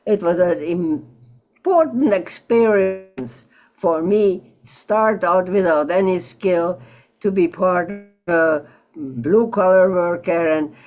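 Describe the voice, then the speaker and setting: An elderly woman recalling her life in an interview, halting and reflective as she searches for words over an online call. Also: on the low side, a little mellow, lo-fi sound. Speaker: Holocaust survivor interviewee. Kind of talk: personal recollection in an interview. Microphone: video call.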